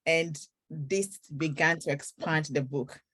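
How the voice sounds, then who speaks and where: A woman's voice: deep voice, fairly even intonation, slow pace, fairly bright tone. A woman author from Ghana, telling her story earnestly in a faith interview. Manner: earnest storytelling, breaks into song.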